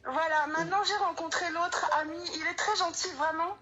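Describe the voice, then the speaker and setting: A woman in her thirties from France, a caller talking haltingly about her troubles. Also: very high voice, steady tone, muffled recording, crowd noise. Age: 30s. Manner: hesitant, halting.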